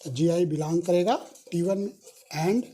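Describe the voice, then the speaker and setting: A woman lecturing on mathematics, slowly dictating each proof step and repeating key phrases, correcting herself when she slips. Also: lowish voice, warm tone. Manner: slow, repetitive dictation of proof steps; self-correcting, apologetic on slips. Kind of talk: recorded university lecture, mixing Hindi and English.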